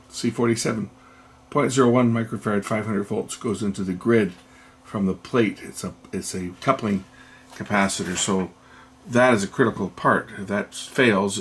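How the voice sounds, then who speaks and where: Male repair-video host, creaky low register, plain explanatory delivery, unscripted at the workbench. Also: a little hiss or hum. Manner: matter-of-fact, explanatory, thinking aloud. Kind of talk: unscripted hands-on repair commentary.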